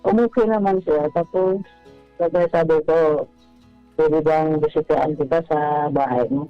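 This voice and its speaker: A man calling a radio show, telling a personal story casually, politely and haltingly. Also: on the high side, steady tone, no echo. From Bohol, Philippines. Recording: dull, band-limited phone call. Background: music.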